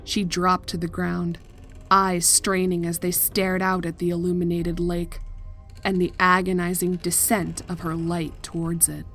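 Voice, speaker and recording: A woman reading a scripted horror story as narrator, in a measured and deliberate dramatic style. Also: on the low side, flat delivery, a bit bright, faint music behind. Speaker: fan fiction narrator.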